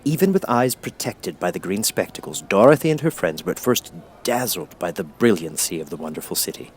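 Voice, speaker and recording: Male audiobook narrator, lowish pitch, measured phrasing, scripted storybook reading. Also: expressive, a little hiss or hum.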